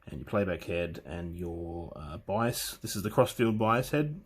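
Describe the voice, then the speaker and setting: Male hobbyist presenter, lowish voice, informal and unscripted, hesitant as he talks through gear. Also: animated, slightly rough. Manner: hesitant, halting, thinking aloud while handling the machine. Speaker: hobbyist presenter demonstrating vintage audio gear. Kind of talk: casual unscripted equipment walkthrough.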